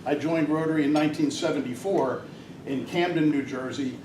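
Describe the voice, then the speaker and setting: A man's voice: on the high side, brisk. An older man giving a rambling, hesitant talk at a club meeting, with many fillers. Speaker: guest speaker at a Rotary club. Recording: distant mic in an echoey room, noisy.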